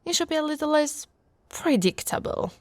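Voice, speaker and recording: A woman from Italy playing an affectionate, teasing female orc warrior in an intimate, soft-spoken ASMR roleplay. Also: high-pitched, lively intonation, moderate pace, dry recording, studio quality. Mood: teasing, competitive. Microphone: close, dry microphone.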